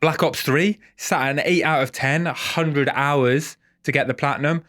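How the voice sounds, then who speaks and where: A man's voice: fairly high pitch, fairly even intonation, normal speed, a bit bright. A man with a head cold and a nasal voice, chatting casually and self-deprecatingly as host of a gaming video.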